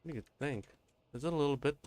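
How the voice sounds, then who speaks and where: A man's voice: fairly high pitch, very fast, darkish tone, slight background noise. A man livestreaming a game, chatting casually and informally, often thinking aloud and repeating his phrases. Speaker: game streamer. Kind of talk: casual livestream gameplay chat.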